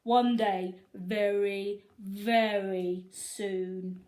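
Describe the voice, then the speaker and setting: A woman voicing a mean, sour old male school principal who speaks slowly and with menace in a children's story. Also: medium pitch, steady tone, a little mellow, echoey room. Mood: menacing. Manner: slow, menacing, emphatic.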